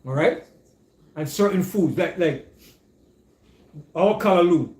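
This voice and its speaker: A man leads a relaxed group discussion. His voice sits a little high for a man. He keeps checking in with his listeners, and the room around him echoes. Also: slow. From Caribbean. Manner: keeps checking in with "you understand?".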